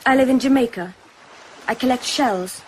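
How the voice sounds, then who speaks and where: A wary young island woman played by an actress in a radio drama, with a fairly high voice. She is blunt, unsophisticated and quick to challenge. There is faint noise behind her. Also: lightly acted. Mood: wary, suspicious. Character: wary, defiant young island woman who collects shells.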